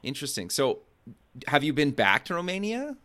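A man's voice: on the high side, wildly swooping pitch, moderate pace, sharp tone. A man hosting an interview show in an informal, slightly rambling conversational way. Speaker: host.

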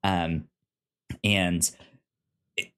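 Low-voiced American man, halting and rambling. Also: level tone, fairly bright tone, clean tone. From United States. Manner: halting, stammering, rambling delivery. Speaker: podcast co-host reviewing audio fiction. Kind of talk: casual review discussion.

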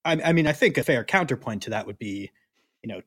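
A man co-hosting a casual sports podcast, explaining things in a wry, measured way. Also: mid-range voice, lively intonation, fairly bright tone, husky, no echo. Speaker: podcast co-host.

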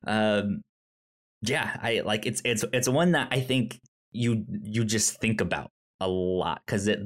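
A man chats casually as a podcast co-host at an unhurried, slow pace. He often stammers and repeats words as he finds his way through a sentence. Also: on the low side. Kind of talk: casual chat about shows and films.

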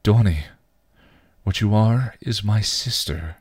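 A man's voice: lowish voice, slow, slightly rough, exaggerated voice. A man voicing a worried, protective young woman who scolds her younger sister in a story. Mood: protective, insistent.

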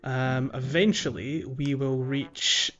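A man's voice: medium pitch, fairly even intonation, takes it slowly, a bit bright, highs cut off, soft background music. A man co-hosting a casual video game podcast, chatting and explaining in a hesitant, circling way. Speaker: podcast host.

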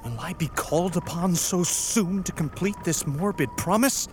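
A man's voice: sits high, normal speed, a little hiss or hum, lightly acted. A man playing a resolute, wary ship captain in a radio play, with a commanding, dramatic delivery. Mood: grave.

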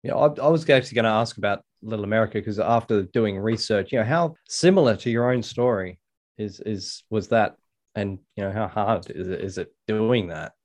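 Man, podcast host, relaxed casual questions. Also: fairly low pitch, a little fast. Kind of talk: casual interview chat.

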